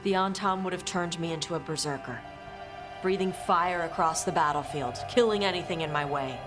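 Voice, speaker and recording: An actress playing a blunt, guarded young dragon hunter in a video game, speaking in terse, casual phrases. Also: female voice, lowish voice, brisk, natural voice. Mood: troubled, guarded. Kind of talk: video game cutscene acting. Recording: music behind the voice.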